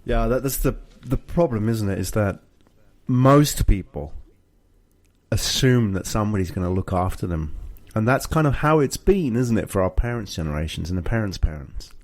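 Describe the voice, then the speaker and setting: A man's voice: fairly low pitch, lively intonation, normal speed, darkish tone. A British man hosting a conversational discussion, explaining things and often checking in with short tag questions. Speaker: show host. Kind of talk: conversational discussion of personal finance.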